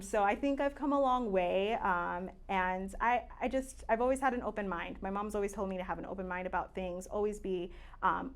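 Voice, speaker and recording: A woman in her late twenties from Tanzania, a native Swahili speaker, chatting casually as a podcast guest with plenty of fillers and easy laughs. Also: mid-range voice. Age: late 20s. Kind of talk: casual interview chat.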